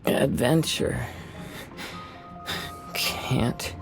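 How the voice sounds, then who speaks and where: A man's voice: medium pitch, very slow, vocal fry. A man playing a groggy young samurai in a video game, mumbling drowsily over music. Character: calm young male samurai, groggy from drink. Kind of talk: video game character acting. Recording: loud game music behind.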